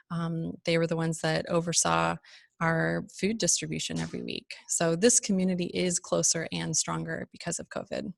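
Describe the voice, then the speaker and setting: Low, creaky-voiced woman giving a talk. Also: no echo, clean studio sound. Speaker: nonprofit leader hosting a fundraiser. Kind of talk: prepared fundraising talk.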